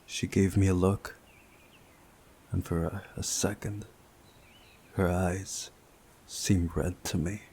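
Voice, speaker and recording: A man reading a visual novel aloud as a playthrough host, in a measured, deliberate way with pauses. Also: deep voice, little pitch movement, darkish tone, slight background noise. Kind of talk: scripted narration of a visual novel with commentary.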